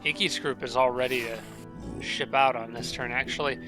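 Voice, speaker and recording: A man casually commentating a strategy game, thinking aloud and correcting himself, his voice a little raspy and nasal from allergies. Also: medium pitch, steady tone, moderate pace, over music, poor audio. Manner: thinking aloud, rambling with restarts.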